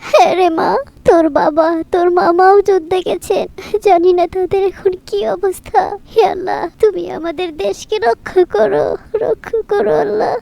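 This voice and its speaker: A woman voicing a worried, devout village mother in a cartoon, with a gravelly voice and tender, pleading speech. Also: very high-pitched, fairly even intonation, normal speed, warm tone. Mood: prayerful.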